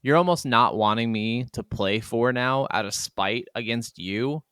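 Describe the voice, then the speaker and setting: Clear-voiced man chatting on a podcast. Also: medium pitch, a little slow, fairly bright tone, no echo, clean studio sound. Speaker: podcast co-host. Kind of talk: casual gaming podcast chat.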